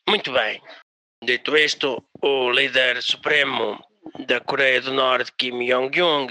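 An actor playing the pompous, bossy owner and presenter of a family radio station, hosting a satirical show. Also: male voice, mid-range voice, sharp tone, dry recording. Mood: proud, pompous. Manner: pompous, exhorting.

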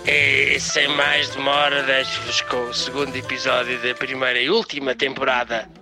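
An actor playing the pompous, bossy owner and presenter of a family radio station, hosting a satirical show. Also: male voice, medium pitch, level tone, normal speed, vocal fry, music in the background, close to an everyday voice. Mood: solemn, gushing. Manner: pompous, exhorting.

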